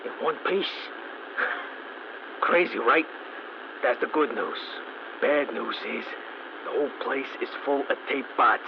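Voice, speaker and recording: Weary, resourceful stranded mechanic, wry game audio log; muffled, static-laced recording. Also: male voice, mid-range voice, takes it slowly, gravelly, pauses often. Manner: wry, dry humor.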